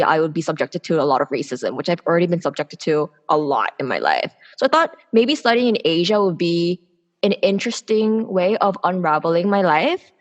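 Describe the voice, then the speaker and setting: A woman in her twenties from South Korea, speaking candidly and with opinions as a guest in a casual interview, explaining herself at length. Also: fairly low pitch. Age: 20s. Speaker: podcast guest, public policy student.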